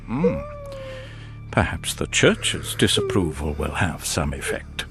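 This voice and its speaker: An actor playing a sly, pompous priest in a gothic audio drama, with a measured, sanctimonious, theatrical delivery and calculating asides. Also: male voice, rumbling low, wildly swooping pitch, music in the background, full character voice. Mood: stern reproach.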